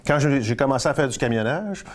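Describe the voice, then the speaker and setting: A man with a Quebec French accent speaks in a casual interview, at an ordinary pitch for a man. He talks haltingly, with frequent fillers and restarts as he recalls his past. His tone is relaxed and conversational. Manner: hesitant, many fillers and restarts. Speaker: guest, country singer and trucker.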